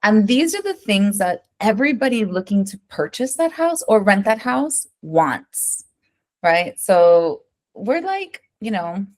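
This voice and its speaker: An American woman working as an interior designer, answering interview questions in a lively, conversational way and often checking in with "right?". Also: mid-range voice, unhurried. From United States. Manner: animated, keeps asking "right?", tells stories.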